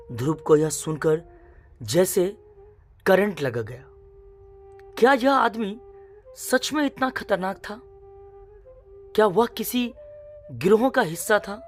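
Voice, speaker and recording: A woman narrating a scripted suspense story in a dramatic, measured way, in short phrases. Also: medium pitch.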